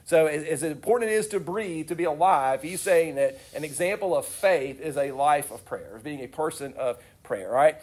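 A young man gives a youth sermon in a slightly high voice, a little congested from a cold. He talks earnestly and casually, repeating words as he finds his way, and moves into heartfelt prayer. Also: normal speed, hardly any pauses, a little mellow, breathy edge. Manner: earnest, conversational, with frequent restarts. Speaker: youth speaker.

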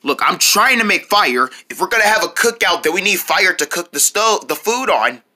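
A man's voice: high voice, normal speed, exaggerated voice. A man voicing a stubborn, easily flustered would-be fire maker in an improvised skit, blustering and repeating himself. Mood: boastful. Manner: repetitive, blustering, excitable.

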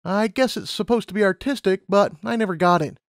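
A man's voice: sits high, fairly quick, voice pushed up. A man voicing an eager, bubbly young female pony pilot in an acted audiobook, chirpy and earnest. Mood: friendly.

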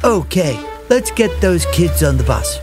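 A woman narrates a toddler cartoon in a playful, chatty manner. Her pitch swings widely and she takes her time over the words. Music plays clearly behind her. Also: a little slow. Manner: animated, chatty asides to young listeners.

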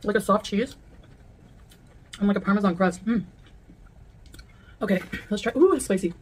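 Woman, mukbang eater at a table indoors, talks between bites, mid-pitched voice, camera in front. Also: normal speed, breathy edge. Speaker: mukbang video creator. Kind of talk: mukbang eating chat.

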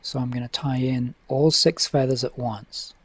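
Calm man explaining fly tying. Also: medium pitch, moderate pace, breathy edge, no room sound. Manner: relaxed, methodical, with frequent uh fillers. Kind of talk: instructional voice-over. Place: home tying desk.

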